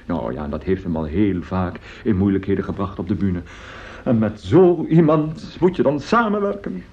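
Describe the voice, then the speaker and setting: A man's voice: fairly low pitch, expressive, moderate pace. An actor in a radio play as a boastful, jovial, germ-shy opera singer who chats effusively and repeats his words. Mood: confiding. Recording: dull, lo-fi old radio recording.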